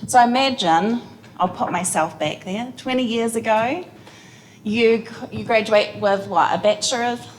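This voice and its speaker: A woman's voice: a little high, a little slow, poor audio. A woman with a New Zealand accent giving friendly, direct remarks as a panelist at a conference session. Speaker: physical therapist panelist.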